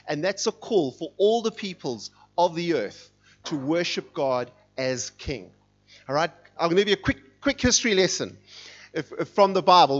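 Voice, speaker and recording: A middle-aged man preaching from a church stage, his voice sitting a little high for a man. He talks with a fired-up energy and leans hard into the lines he reads. The sound is dull and muffled, with little sparkle. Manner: energetic, fired up, reads scripture with rising intensity. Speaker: guest preacher. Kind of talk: sermon. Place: church sanctuary.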